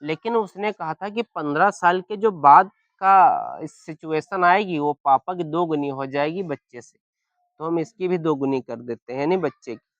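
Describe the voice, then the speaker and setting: A man with a sore, hoarse throat teaching a maths lesson step by step, often asking if everyone is following. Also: fairly high pitch, lively intonation, measured pace, a bit bright, no room sound, dull audio. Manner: keeps asking "clear?" and "any problem?".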